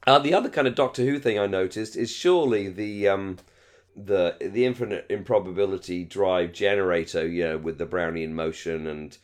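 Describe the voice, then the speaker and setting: Low-pitched man, rambling chat. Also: normal speed. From Britain. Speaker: podcast co-host. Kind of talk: casual discussion of a radio drama.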